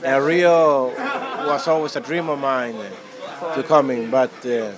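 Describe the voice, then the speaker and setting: A man's voice: mid-range voice, steady tone, slow. A man from Chile who runs a hostel, answering interview questions casually with many fillers and restarts. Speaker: hostel owner.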